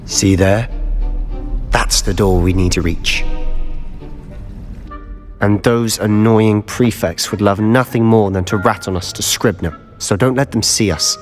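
An actor playing a confident, sly teenage British wizard student in a video game, with a cocky, conspiratorial manner. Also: male voice, fairly low pitch, a little slow, long pauses, big-room sound, soft background music, close to an everyday voice. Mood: cautioning. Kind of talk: video game cutscene acting.

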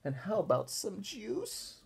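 A man plays a whiny little girl from a storybook, scheming and pleading. The voice swoops up high and drags out the complaints slowly. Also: wildly swooping pitch, gravelly, darkish tone, slightly rough, exaggerated voice.

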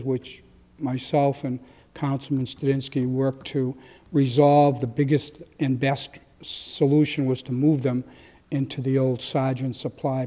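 Man in his sixties, mellow, flat pitch, halting public testimony, reverberant chamber. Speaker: real estate broker addressing a council. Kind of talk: public testimony, semi-formal. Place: large council chamber. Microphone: gooseneck microphone on a podium.

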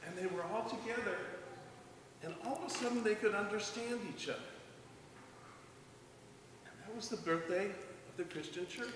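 An older man, a pastor, chatting informally and haltingly during a children's story in a large church sanctuary. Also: sits high. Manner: relaxed and halting, with many restarts. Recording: echoey church hall, distant mic, faint noise.

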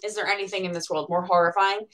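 A woman's voice: mid-range voice, fairly even intonation, fast talker, a bit bright. A young adult woman reading children's picture books aloud in a playfully dramatic way, with chatty asides, recorded in a small room at home.

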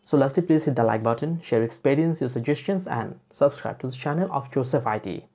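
Female tutorial presenter for screen-recording voice-over; very deep pitch, slightly husky, mellow. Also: expressive, fairly quick. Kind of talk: software tutorial narration.

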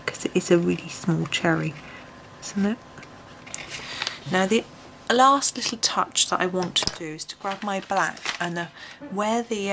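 A woman, a little croaky, gently talking through a colouring tutorial at her desk, often dropping to a near whisper. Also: medium pitch, slight background noise, low-quality recording. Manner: soft-spoken, often drops to a near whisper. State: slightly croaky voice. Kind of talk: relaxed step-by-step tutorial. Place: home desk, filmed from above.

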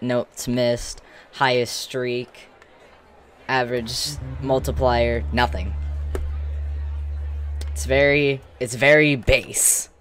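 A man giving casual, offhand gameplay commentary in short fragments as a streamer. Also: mid-range voice. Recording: game music behind the voice.

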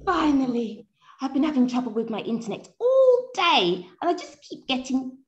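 A woman's voice: high-pitched, very animated, moderate pace. A woman playing a flustered caller struggling with her internet in a comedy sketch, over a lo-fi call. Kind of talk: comedy audio sketch acting.

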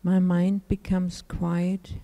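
Very slow, dark-voiced woman guiding meditation. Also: fairly low pitch, completely flat delivery. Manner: unhurried, measured phrases with pauses. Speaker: meditation teacher, Buddhist nun. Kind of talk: guided meditation.

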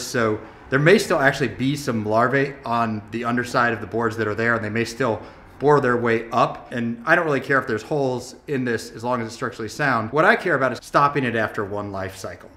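A man's voice: mid-range voice, a bit bright. A man in his thirties explaining building repair work in a casual, matter-of-fact vlog, recorded on a camera mic in a large empty brick building. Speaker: renovation vlogger. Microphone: camera mic held at arm's length.

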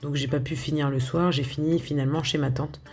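A woman's voice: deep voice, steady tone, fairly quick, darkish tone, faint music behind. A woman talking casually over a video of her home cooking, hesitant, with lots of filler sounds and restarts. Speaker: home cook showing her recipes.

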